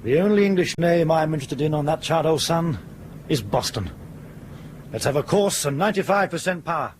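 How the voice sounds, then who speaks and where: An actor playing a dry, principled airline captain in command of a ship, brisk and authoritative, in a radio drama. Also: male voice, a little high, expressive, a little hiss or hum, lightly acted. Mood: firm command.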